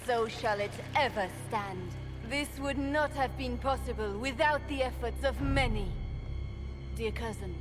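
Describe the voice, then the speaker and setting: A woman playing a stately, solemn empress giving a measured, formal speech in a fantasy game. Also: fairly high pitch, lightly acted. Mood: solemn, proud. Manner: regal, measured, formal oratory. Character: stately, solemn empress addressing her court. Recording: long hall reverb, music behind.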